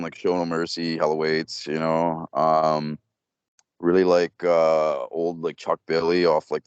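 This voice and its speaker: A man's voice: smooth, no echo, lo-fi sound. A young man, a metal band vocalist, giving casual interview answers with lots of fillers and restarts. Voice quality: low voice. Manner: rambling, many fillers and restarts.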